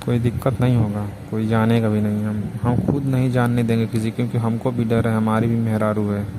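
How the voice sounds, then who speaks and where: A man's voice: mid-range voice, level tone, brisk, close to an everyday voice. A man playing a persuasive, inquisitive village ice seller in a phone romance skit, with a rustic eastern Uttar Pradesh accent. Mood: coaxing. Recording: noisy, outdoor traffic behind.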